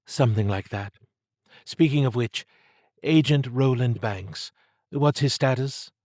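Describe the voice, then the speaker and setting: Man voicing a brisk, resolute woman commander. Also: mid-range voice, a little mellow, dry recording, clean studio sound, pitched above the performer's own voice, close to an everyday voice. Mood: brisk, commanding. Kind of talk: dramatised audiobook dialogue.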